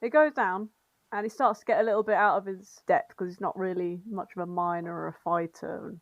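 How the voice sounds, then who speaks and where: A woman's voice: fairly low pitch, fairly even intonation, brisk, dry recording, phone-quality sound. A woman co-hosting a podcast, telling stories casually in a giggly, irreverent, rambling way. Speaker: podcast co-host reading and summarising fan fiction. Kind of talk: casual comedic storytelling chat.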